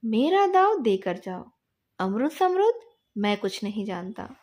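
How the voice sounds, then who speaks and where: A bossy young village boy, voiced by a woman storyteller, high and swooping in pitch. He argues stubbornly and scolds, insisting on getting his way in a children's story. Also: sing-song, measured pace, a little mellow. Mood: demanding.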